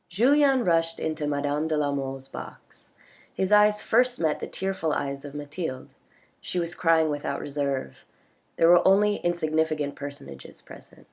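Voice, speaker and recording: A woman's voice: low-pitched, gravelly, a little mellow. A woman reading literary fiction aloud as scripted audiobook narration. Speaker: audiobook reader.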